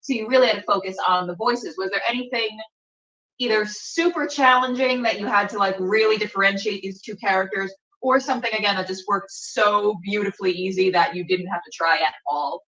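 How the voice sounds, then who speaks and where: A woman's voice: mid-range voice, brisk, pushed and bright, recorded from a distance. A woman hosting a casual interview, informal and enthusiastic, recorded in a roomy space away from the microphone. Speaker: podcast host interviewing. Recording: roomy, echoey, off the mic.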